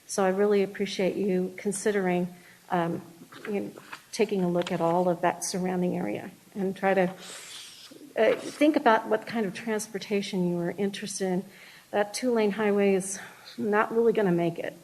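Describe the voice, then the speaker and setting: A woman's voice: mid-range voice, steady tone, normal speed, darkish tone, slight background noise, rough recording. A woman speaking during public comment at a city meeting, hesitant and full of fillers and restarts.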